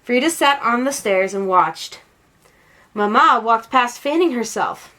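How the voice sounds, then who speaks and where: Female storybook narrator, expressive, highish voice, very lively intonation. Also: measured pace. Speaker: storybook read-aloud narrator.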